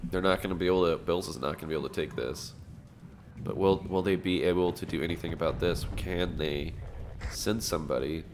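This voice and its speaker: A man commentating a strategy game match, sounding nasal from allergies, reacting excitedly to the action. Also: low voice, noisy background. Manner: excitable, fast reactions and laughs. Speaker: gameplay commentator.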